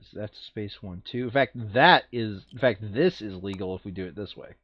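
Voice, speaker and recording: A man's voice: fairly low pitch, moderate pace, no room sound, dull audio. A man running a board game playthrough in casual, crude, joking chat, now and then reading game text aloud. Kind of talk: casual gaming chat with bits of read-aloud flavour text.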